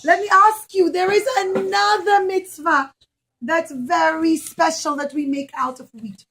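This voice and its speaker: Woman, nursery storyteller, high-pitched, slow-paced, emphatic, questioning, speaking to young children. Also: steady tone, fairly bright tone, slight background noise. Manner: questioning, emphatic, drawn-out key words. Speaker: nursery teacher and puppet show storyteller.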